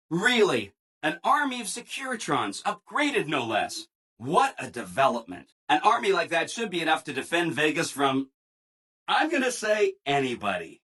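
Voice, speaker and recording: An actor playing a relentlessly cheerful, eager-to-please helper robot in a video game, with perky, over-agreeable enthusiasm. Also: male voice, fairly high pitch, a bit bright, husky, heavily acted. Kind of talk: video game character acting.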